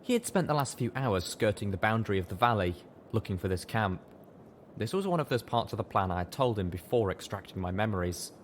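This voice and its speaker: A man's voice: fairly low pitch, lively intonation, fairly quick, slight background noise. A man reading a first-person story as scripted audiobook narration. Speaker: audiobook reader.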